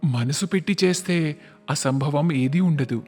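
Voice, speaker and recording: Man playing intense, higher-voiced young businessman. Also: a little slow, darkish tone, natural voice. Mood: grateful, tender. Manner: emphatic, dramatic, repeats words. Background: background music.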